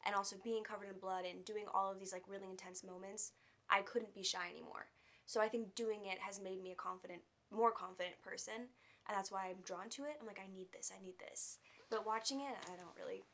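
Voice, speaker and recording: A young adult woman with a soft, quiet voice, an actress talking candidly as an interview guest, peppering her speech with "like" and "um.". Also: medium pitch, steady tone, a little fast. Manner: open, candid, uses fillers like "like" and "um". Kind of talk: casual interview.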